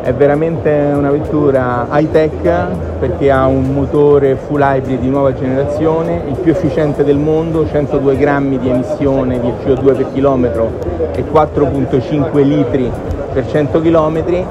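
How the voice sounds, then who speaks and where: A man's voice: medium pitch, fairly even intonation, normal speed, over loud music. A man, a car company executive, answering interview questions confidently and fluently at a busy motor show.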